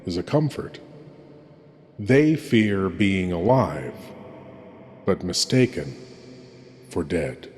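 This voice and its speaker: Man acting as slow, sinister horror host in cavernous reverb. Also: sits low, darkish tone. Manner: slow, portentous, dramatic pauses. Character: ghoulish horror host lord, solemn, sinister, intimate. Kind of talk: theatrical horror anthology introduction.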